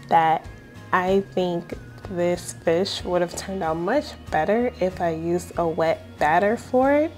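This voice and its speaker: A young woman vlogging casually from her home kitchen, chatty and self-deprecating, getting over a cold. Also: mid-range voice. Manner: relaxed, chatty, self-deprecating, many fillers. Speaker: vlogger reviewing an air fryer. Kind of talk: casual cooking vlog.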